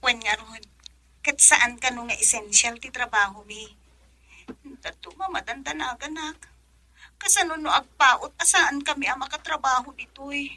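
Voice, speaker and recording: An actress with a Filipino accent playing an anxious, tearful young wife working abroad in a radio drama. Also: female voice, high voice, moderate pace, sharp tone, heavily acted, rough recording. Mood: worried. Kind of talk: Ilocano radio drama acting.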